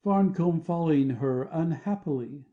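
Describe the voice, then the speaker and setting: A man's voice: on the high side, moderate pace, dark tone, highs cut off. A man reading stage directions as scripted narration for an audio play.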